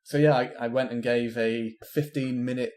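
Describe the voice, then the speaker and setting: A young man co-hosts a laid-back science podcast. A cold makes his voice a little deeper than usual. He tells stories with a dry, joking manner. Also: steady tone, moderate pace, a little mellow. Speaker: podcast co-host, PhD researcher. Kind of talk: casual science podcast chat.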